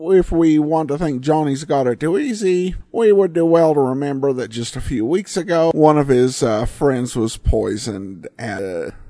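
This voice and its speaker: A man's voice: on the high side, lively intonation, normal speed, hardly any pauses, mellow, no room sound. A man hosting a podcast, giving commentary and sponsor reads into a close mic. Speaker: podcast host.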